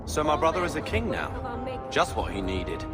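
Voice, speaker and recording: An actor playing a brash, resentful younger brother in a video game, speaking bluntly and sarcastically. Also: male voice, fairly high pitch, sing-song, normal speed. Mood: irritated, sarcastic. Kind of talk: video game dialogue acting. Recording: long hall reverb, music behind.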